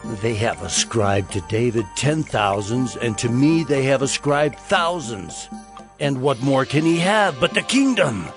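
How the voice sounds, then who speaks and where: Man acting a brooding, scheming king, swinging pitch. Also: expressive, unhurried, music in the background. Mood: bitter, resentful. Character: scheming, jealous, brooding king of ancient Israel. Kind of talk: dramatized scripture acting.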